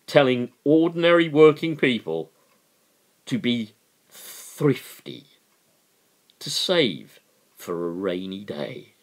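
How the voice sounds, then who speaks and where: A middle-aged man giving a dramatic reading and impassioned political commentary, emphatic and deliberate, with dramatic pauses. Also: medium pitch. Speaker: amateur reader and political commentator. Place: small living room at home, indoors.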